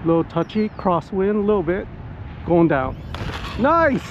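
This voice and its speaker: A man giving casual, excitable live commentary outdoors to viewers as he pilots a model plane. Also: high voice, unhurried. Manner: excitable, whooping, talking to viewers while piloting. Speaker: hobbyist RC pilot and vlogger. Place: outdoors at a flying spot in wind and rain. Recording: muffled outdoor audio with background noise.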